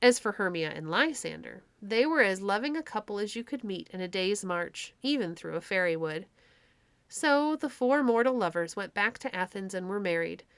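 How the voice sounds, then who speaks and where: A woman's voice: mid-range voice, lively intonation, moderate pace, clear, resonant tone, no room sound. A woman narrating a classic children's story in a scripted read-aloud style.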